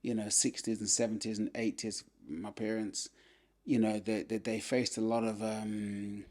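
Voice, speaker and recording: A man in his fifties with a fairly low voice, speaking as a guest on a casual podcast. He tells his story in an easy, unhurried way and leans on "you know" between thoughts. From England. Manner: relaxed storyteller, many "you know" fillers. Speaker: podcast guest, former boxer. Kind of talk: casual life-story interview.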